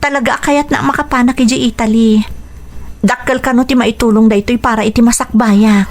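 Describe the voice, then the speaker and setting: An actress playing a dutiful young Ilocano daughter, earnest and pleading, in a radio drama. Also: female voice, fairly high pitch, fairly even intonation, a little fast, natural voice.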